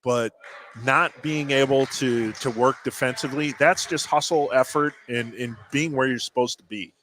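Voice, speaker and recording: Man, American accent, bright forceful voice, conversational sports analysis, faint background noise. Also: medium pitch, normal speed, low-quality recording. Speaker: color commentator. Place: indoor school gym during a game. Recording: faint gym noise on broadcast.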